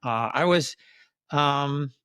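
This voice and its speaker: A man's voice: a little high, drawn-out words, bright, forceful tone, smooth, dry recording. Older New York man, dry close voice. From Queens, New York. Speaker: interviewer host. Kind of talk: casual conversational interview.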